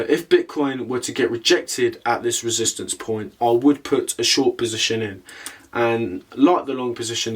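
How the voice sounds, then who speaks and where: A man presenting an explanatory market analysis commentary, talking through it step by step as the host. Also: mid-range voice. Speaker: host of a crypto trading video.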